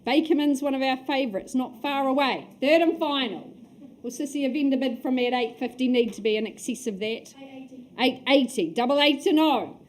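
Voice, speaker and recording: A woman calls bids at a property auction. Her voice is high. She delivers quick, clipped calls.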